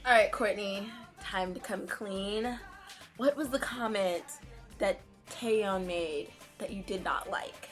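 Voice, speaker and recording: A woman's voice: mid-range voice, slow, faint music behind. Young woman vlogger, easygoing and giggly, mid-range voice. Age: young adult. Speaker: co-host of a fan channel. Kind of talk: casual Q&A chat.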